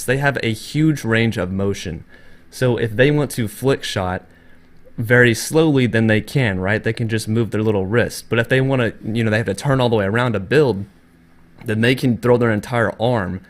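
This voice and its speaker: Stuffy-nosed guy with a lowish voice doing a gaming tips video, kinda scratchy, keeps re-explaining stuff. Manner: earnest, explanatory, circles back to restate points. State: congested, slightly scratchy voice from a cold. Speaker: gaming tutorial host. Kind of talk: casual spoken tutorial.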